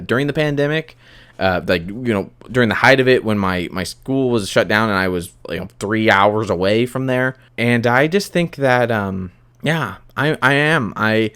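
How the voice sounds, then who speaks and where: A young man hosting a solo podcast, talking candidly and confessionally in a halting, self-reflective way that often doubles back on phrases. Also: fairly low pitch, a bit bright, smooth. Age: young adult.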